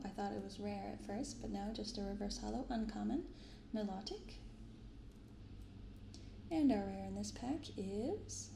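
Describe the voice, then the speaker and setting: A woman speaking softly and gently in an unhurried, casual ASMR style as she hosts a video. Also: on the high side. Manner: soft, gentle, unhurried delivery. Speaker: host of a card-opening ASMR channel. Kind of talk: soft-spoken ASMR, casual commentary. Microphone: binaural microphone. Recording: roomy echo, clearly audible background noise.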